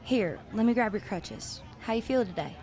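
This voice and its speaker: An actress playing a protective, plain-spoken rodeo rider's sister with a stern, earnest delivery. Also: female voice, mid-range voice, lively intonation, normal speed, a little mellow, lightly acted. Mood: caring. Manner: blunt, earnest. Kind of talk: radio play acting.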